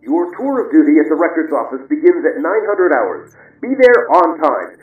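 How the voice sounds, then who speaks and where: An actor playing a stern, menacing telescreen voice in a radio drama, barking orders like an interrogator. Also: male voice, on the high side, big pitch swings, moderate pace, highs cut off. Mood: coldly menacing. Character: menacing, commanding telescreen voice of the Thought Police. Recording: echoey distant radio-like sound, music underneath.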